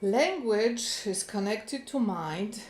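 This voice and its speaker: Woman, host, reflective monologue, very slow pace, frequent pauses, echoey room. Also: medium pitch, expressive, a little mellow.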